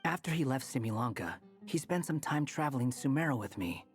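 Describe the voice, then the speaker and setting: A man playing an aloof, sharp-tongued young male wanderer in a video game, with a dry, curt, slightly haughty manner. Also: mid-range voice, lively intonation, brisk, soft background music, natural voice. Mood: matter-of-fact.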